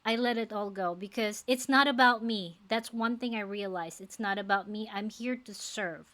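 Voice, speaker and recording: A woman's voice: medium pitch, normal speed, a bit bright. A woman in her forties from the Philippines with a Filipino accent, English not her first language, talking earnestly to camera as a faith-based life coach, with many fillers. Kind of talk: casual talk to camera.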